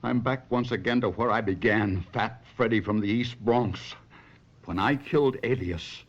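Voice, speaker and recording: An actor playing an anguished stage performer and husband in an old-time radio drama, husky and theatrical. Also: male voice, fairly low pitch, normal speed, lightly acted. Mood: despairing. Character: despairing, tormented middle-aged stage ventriloquist husband. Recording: muffled vintage radio drama recording.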